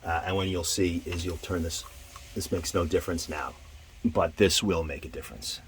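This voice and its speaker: A man giving an informal hands-on equipment demonstration, halting and thinking aloud as he goes. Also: sits low, steady tone. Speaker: presenter demonstrating radio equipment. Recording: clear background noise.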